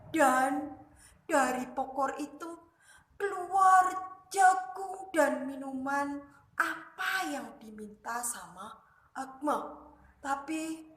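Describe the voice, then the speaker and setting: A woman telling a children's story like a kindergarten teacher, deliberately, in short emphatic phrases. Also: high voice, fairly bright tone. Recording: echoey room.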